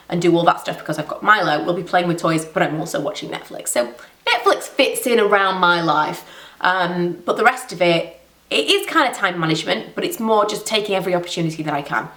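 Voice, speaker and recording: A woman's voice: fairly low pitch, a bit bright, echoey room, recorded from a distance. A woman in her thirties with a stuffy cold, chatting casually and rambling through viewer questions in a home vlog. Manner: chatty, rambling, self-deprecating. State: blocked nose from a cold. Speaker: beauty vlogger.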